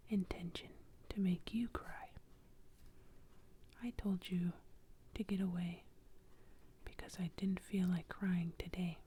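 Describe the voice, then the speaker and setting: Woman as a sorry tomato lady, slow soft whisper. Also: lowish voice, a little hiss or hum. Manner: whispered, apologetic.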